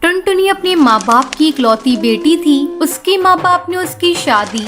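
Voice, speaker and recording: A woman's voice: sits high, lively intonation, moderate pace. A woman narrating a scripted cartoon story.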